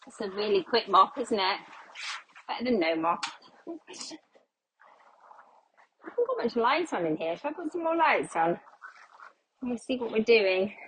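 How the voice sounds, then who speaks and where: A woman's voice: on the high side, sing-song, unhurried, long pauses, fairly bright tone, a little hiss or hum, poor audio. A middle-aged woman, chatting casually while mopping her kitchen, a little out of breath and slightly congested as she loses her voice. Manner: chatty, self-deprecating, thinks aloud. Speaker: cleaning vlogger. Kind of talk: casual chat while cleaning.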